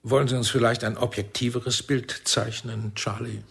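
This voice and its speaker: An actor playing a commanding, sardonic older intelligence chief in a radio drama, probing and deliberate. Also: male voice, medium pitch, steady tone, creaky, husky, heavily acted. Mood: probing, accusing. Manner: deliberate, probing, swinging from soft to barking. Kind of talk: German radio drama acting.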